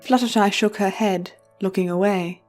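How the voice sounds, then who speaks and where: A woman's voice: mid-range voice, fairly even intonation, normal speed, darkish tone. A woman reading scripted story narration as the narrator of an audio drama. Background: music.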